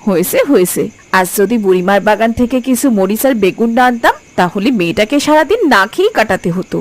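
A woman's voice: mid-range voice, sing-song, fast talker, lightly acted. A woman voicing a caring, mildly scolding village housewife and mother in a cartoon. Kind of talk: Bengali cartoon voice acting. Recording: music behind the voice.

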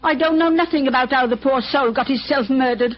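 Scared poor woman, high plaintive voice, old radio play, muffled. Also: a little fast. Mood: plaintive, fearful. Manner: plaintive, defensive. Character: timid, plaintive, poor working-class female patient. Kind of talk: old-time radio drama acting. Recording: dull old radio recording.

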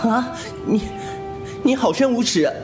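An actor playing a frank, inquisitive, cheeky young swordsman in a wuxia audio drama, with an expressive, exclamatory delivery. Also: extremely high pitch, measured pace. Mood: startled, indignant. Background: music.

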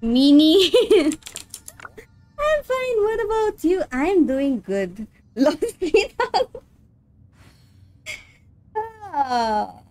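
A woman's voice: sits high, sing-song, extremely slow, pauses often, a little mellow. A woman with an Indian accent chatting casually and playfully on a game livestream, often repeating her phrases. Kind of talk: casual livestream chat while gaming. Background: game music.

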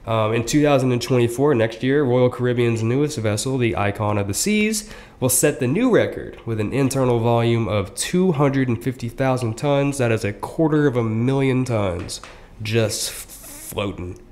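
A young man co-hosting a podcast, explaining history in casual chat with frequent fillers and quick asides. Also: mid-range voice, darkish tone. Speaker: podcast co-host.

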